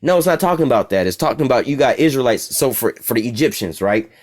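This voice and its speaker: Profane streetwise American bible teacher. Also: male voice, mid-range voice, expressive, quick pace. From United States. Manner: profane, streetwise, keeps asking "all right?". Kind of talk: informal bible study teaching.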